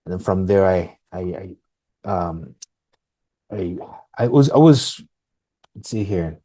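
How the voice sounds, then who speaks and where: A man's voice: low voice, animated, slow pace, warm tone, dry recording. A man from Fiji with a Fijian accent, chatting casually and informally as an interview guest. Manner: informal, often says "man" and "you know". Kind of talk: casual conversational storytelling.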